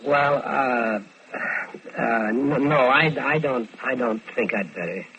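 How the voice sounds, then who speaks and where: An actor playing a smooth-talking American killer who tells his own crime in vintage radio drama style. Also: medium pitch, takes it slowly, a bit bright, slight background noise. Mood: guarded, nervous. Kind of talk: 1940s-50s radio crime drama acting. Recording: dull lo-fi old radio recording.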